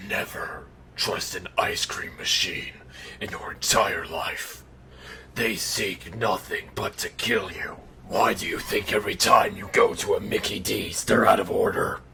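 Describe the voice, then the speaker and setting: A man voicing an irritable, sardonic undead killer in an animatronic suit, telling a bedtime story in a deadpan, exasperated growl. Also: low-pitched, full character voice. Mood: grudging, hushed, then sardonic, ranting. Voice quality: gravelly, breathy growl. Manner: deadpan dark humor, exasperated. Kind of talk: in-character bedtime storytelling roleplay. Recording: noticeable background noise.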